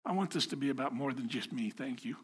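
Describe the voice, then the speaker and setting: A man's voice: mid-range voice, fast, slightly rough. A man giving an informal sermon to a congregation in a house of worship, talking in a conversational, self-deprecating way and joking with the people in front of him. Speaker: lay preacher.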